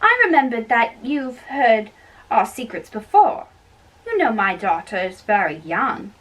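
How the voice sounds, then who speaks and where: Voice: medium pitch, big pitch swings, unhurried, a bit bright, recorded from a distance, pitched below the performer's own voice. A young-sounding reader voicing a stern, secretive noble mother in an amateur reading of a play. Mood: confiding.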